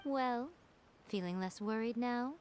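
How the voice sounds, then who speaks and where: A woman's voice: a little high, measured pace, very noisy, natural voice. A woman playing a composed, knowing and playful mentor in a video game, teasing as she talks. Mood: smug reassurance.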